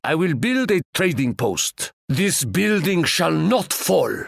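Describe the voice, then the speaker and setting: A man voicing a proud, boastful male sultan in a video game, speaking in a grand, measured way and fond of stately proverbs. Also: fairly high pitch, sing-song, gravelly, no echo, studio quality, heavily acted. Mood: regal.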